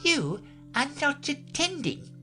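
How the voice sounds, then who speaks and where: A touchy storybook mouse, acted out by a woman, pitched well above her usual voice and swinging about in tone. It sounds sorrowful and indignant, scolding severely, with music behind it. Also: wildly swooping pitch, normal speed. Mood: severe, angry.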